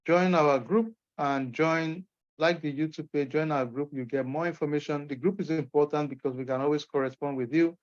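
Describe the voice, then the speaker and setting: Nigerian male instructor, upbeat and emphatic, fairly high voice over a dull lo-fi call line. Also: steady tone, normal speed. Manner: encouraging, emphatic, folksy asides. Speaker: course instructor. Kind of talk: online Q&A session. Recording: muffled lo-fi call audio.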